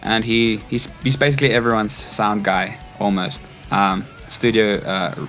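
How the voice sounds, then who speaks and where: A man's voice: fairly low pitch, fairly even intonation, unhurried, a bit bright, soft background music. A man in his twenties with a South African accent, telling personal stories casually as an interview guest. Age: 20s. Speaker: interview guest, stage crew technician. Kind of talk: casual chat, personal anecdotes.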